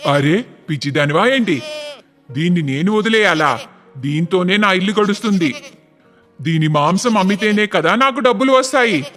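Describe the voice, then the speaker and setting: A man voicing a gruff, hard-nosed village butcher in a cartoon, blunt and scornful. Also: high voice, lively intonation, normal speed, fairly bright tone, in a large hall, faint music behind.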